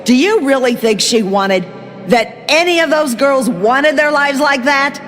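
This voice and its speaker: Raging troll barmaid, yelling accusations, echoey hall sound. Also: female voice, sits high, lively intonation, unhurried. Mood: enraged. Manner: furious, accusing, shouting. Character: fierce grieving troll barmaid woman. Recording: game audio with hall reverb and music.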